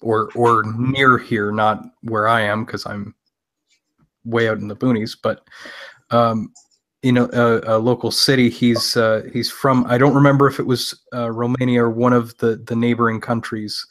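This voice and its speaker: A man's voice: medium pitch, level tone, moderate pace, fairly bright tone. Rambling man in casual chat. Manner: rambling, circles back with restarts. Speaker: panel participant. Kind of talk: casual group call chat.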